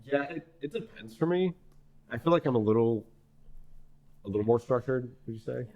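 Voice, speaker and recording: A young man from New Hampshire, a singer-songwriter guest in a podcast interview, telling a story in a rambling, animated way full of restarts. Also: medium pitch, normal speed. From New Hampshire, USA. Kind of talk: casual conversational interview.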